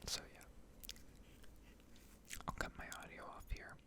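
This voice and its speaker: A woman whispers close to the microphone in a casual gaming ramble. She speaks hesitantly, as if thinking aloud to herself, in an intimate ASMR style. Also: measured pace. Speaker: gaming video creator.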